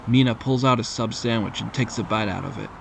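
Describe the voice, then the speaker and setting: A man's voice: on the low side, a little fast, vocal fry, slight background noise. A man narrating a scripted audio drama in the third person, as a storyteller.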